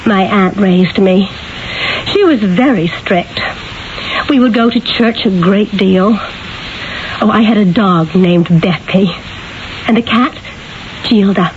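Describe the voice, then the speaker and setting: A woman's voice: medium pitch, slow pace, long pauses, close to an everyday voice. Woman acting demure young wife, muffled old radio. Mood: wistful, plain. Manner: simple, hesitant, earnest. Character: Mona Lisa, demure, naive young merchant's wife. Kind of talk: 1970s radio drama acting. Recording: dull old radio, faint hiss.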